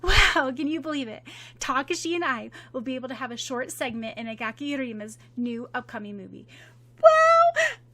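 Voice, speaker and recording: A woman voicing an excitable, grateful teen girl who talks in a high, loud, gushing way. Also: moderate pace, full character voice.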